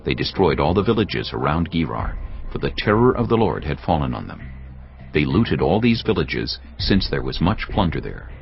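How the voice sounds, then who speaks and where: Very deep, expressive male scripture narrator. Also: moderate pace, creaky. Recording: dull lo-fi sound, music behind. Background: music.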